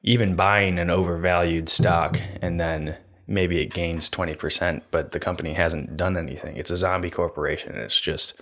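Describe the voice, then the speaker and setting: Podcast co-host: low-voiced young man, steady monotone, soothing casual talk, close mic. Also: moderate pace, few pauses. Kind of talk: casual chat. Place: small room indoors. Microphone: studio mic on stand, close.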